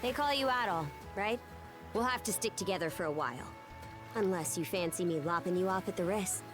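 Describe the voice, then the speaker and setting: A woman's voice: lowish voice, lively intonation, fairly quick, music in the background, natural voice. An actress voicing a brash, proud young pirate woman in a video game, with a blunt, taunting and confident delivery. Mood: exasperated, threatening. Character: brash young Norman pirate woman, sharp-tongued, proud, fierce fighter. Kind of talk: English video game voice acting.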